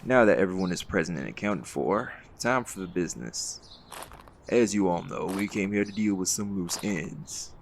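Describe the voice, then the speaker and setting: An actor playing a calm, authoritative vigilante leader in an audio roleplay, with a folksy, firm way of talking. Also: male voice, sits low, moderate pace, gravelly, a little hiss or hum. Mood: briefing, measured.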